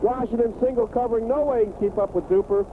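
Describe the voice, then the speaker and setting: An American man with a high voice offers unscripted, opinionated football analysis in a halting, conversational way. It comes through a narrow, tinny 1980s radio signal with clear noise. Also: steady tone. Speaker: radio colour commentator.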